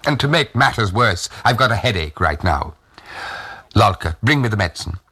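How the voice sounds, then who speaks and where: A man's voice: fairly low pitch, lively intonation, moderate pace, bright, forceful tone, slightly rough, voice pushed up, heavily acted. A man voicing an anxious, irritable daughter-in-law in a literary reading, fretful and exasperated. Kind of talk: acted dialogue in a literary reading.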